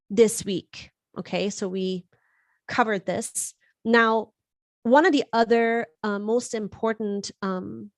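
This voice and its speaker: A woman's voice: medium pitch, dry recording, clean studio sound. German-born woman giving an astrology forecast. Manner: conversational, frequent fillers and restarts. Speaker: astrologer host. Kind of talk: live-streamed astrology forecast.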